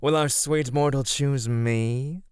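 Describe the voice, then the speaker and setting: A man's voice: medium pitch, steady tone, slow, clean tone, no echo, clean studio sound, close to an everyday voice. A man voicing a sly, flirty immortal god of beauty in an ASMR roleplay, teasing and coaxing without hurry.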